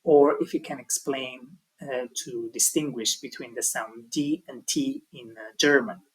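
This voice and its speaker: Man, husky, mid pitch, tutorial, hesitant with fillers. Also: steady tone, unhurried, poor audio. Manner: hesitant, many ums and restarts. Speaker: language teaching tutor. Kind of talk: explaining an online tutorial.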